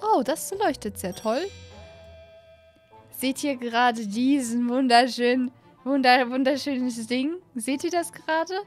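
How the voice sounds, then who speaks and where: Young woman, high voice, excitable gaming host, casual chatty commentary, close mic, music behind. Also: unhurried. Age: 20s. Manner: excitable, gushing, peppered with English words. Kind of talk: casual let's-play commentary addressed to viewers. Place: small home gaming room, indoors. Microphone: close desk microphone on an arm, with headset. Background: background music.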